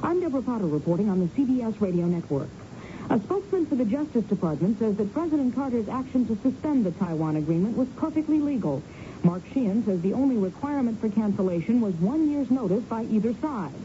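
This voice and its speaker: Dark-toned American woman reading radio news. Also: a little high, sing-song, quick pace. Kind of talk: scripted network news reading. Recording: muffled, faintly noisy radio broadcast.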